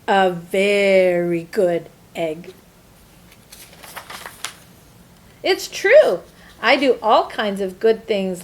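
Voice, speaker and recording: Woman playing an eager, swooping storybook good egg. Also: medium pitch. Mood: cheerful, proud. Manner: expressive, exclamatory, emphatic storybook delivery. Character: earnest, eager-to-please good egg from a children's picture book. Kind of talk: first-person children's storybook narration.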